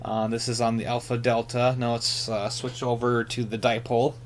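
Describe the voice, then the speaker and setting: Halting man with faint hum behind. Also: mid-range voice, completely flat delivery. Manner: halting, tentative, thinking aloud. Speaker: radio hobbyist presenter. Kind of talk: casual hands-on equipment demonstration.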